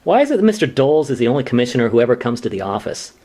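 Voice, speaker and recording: Earnest, put-upon male junior lawyer man acting, brisk and animated, deferential straight man in a radio sitcom. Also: medium pitch, close to an everyday voice. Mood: exasperated. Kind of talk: sitcom-style radio play dialogue.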